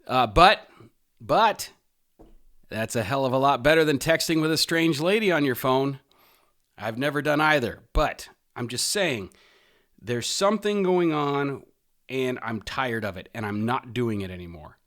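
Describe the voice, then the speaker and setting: A man's voice: medium pitch, lively intonation, fairly bright tone. A man hosting a podcast in a casual, opinionated monologue, stressing words emphatically and rambling into wry asides. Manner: emphatic, drawn-out stresses, mild swearing. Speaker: podcast host.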